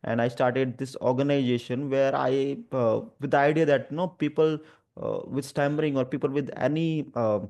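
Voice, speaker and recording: A man with an Indian accent and a stammer, speaking haltingly and earnestly as an advocate sharing personal experience. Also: mid-range voice, fairly even intonation, a little mellow. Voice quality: stammer, with repeated sounds and blocks. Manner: hesitant, halting, earnest phrasing. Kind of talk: personal talk about lived experience, conversational.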